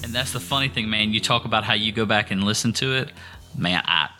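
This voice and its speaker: Bright-voiced man, playful podcaster. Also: fairly low pitch, fast, soft background music. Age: 30s. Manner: playful, upbeat, repeats words when starting. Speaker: podcast co-host. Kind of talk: casual podcast chat.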